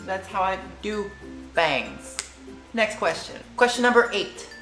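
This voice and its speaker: A woman in her late teens chatting casually as a vlogger, lively and slangy, jumping between tangents. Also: mid-range voice, slow pace, roomy sound, soft background music, poor audio. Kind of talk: casual chat answering tag questions.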